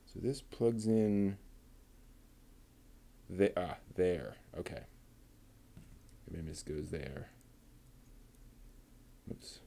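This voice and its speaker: A man talking himself through assembling a model kit, muttering and pausing between steps. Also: low-pitched, expressive, creaky, warm tone, a little hiss or hum. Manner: slow, halting, with many pauses. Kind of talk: casual build narration.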